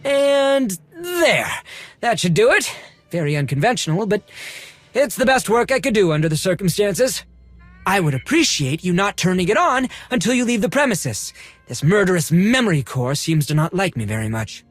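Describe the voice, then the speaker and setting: A prim, haughty collector from a video game. His voice sits high and moves animatedly up and down, with fussy, formal phrasing. The recording is dry and close. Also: sing-song, moderate pace, no room sound, soft background music. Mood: fussy, businesslike. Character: fussy, haughty male collector and droid restorer.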